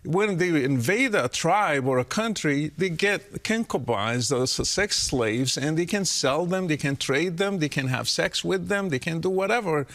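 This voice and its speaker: A man's voice: on the high side, expressive, measured pace, hardly any pauses, a bit bright. A man, an Arabic speaker, explaining his views earnestly as a guest on an interview podcast.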